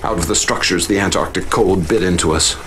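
A man's voice: low voice, brisk, fairly bright tone, slight background noise. A man narrating a radio drama as a professor, tense and dramatic, sometimes stumbling over his words. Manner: tense and dramatic, with stammered restarts. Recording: music playing under the voice.

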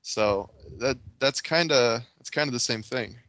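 A man's voice: mid-range voice, a little slow, fairly bright tone. A man co-hosting a casual podcast, bantering in a pushy, joking way. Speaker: podcast co-host.

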